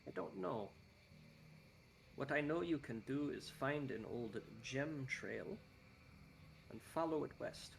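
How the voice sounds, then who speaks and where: A man's voice: medium pitch, slow pace, creaky, pauses often, slight background noise. A man voicing a courteous, scholarly male desert guide in a put-on Icelandic accent, speaking formally and explaining at length.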